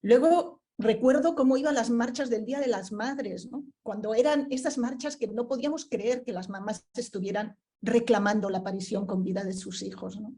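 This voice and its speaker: Thoughtful woman with a mellow voice. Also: on the high side, moderate pace, hardly any pauses. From Spain (Barcelona). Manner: thoughtful, searching for words, with frequent fillers and tag questions. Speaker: book presenter, panelist. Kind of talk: book presentation talk.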